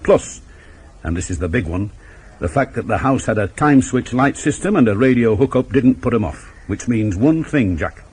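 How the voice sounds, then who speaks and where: An actor playing a shrewd, dogged middle-aged police inspector in a 1980s radio drama, terse, emphatic and authoritative. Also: male voice, mid-range voice, lively intonation, normal speed, darkish tone, husky. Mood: brooding, shrewd. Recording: old radio broadcast, faint traffic noise.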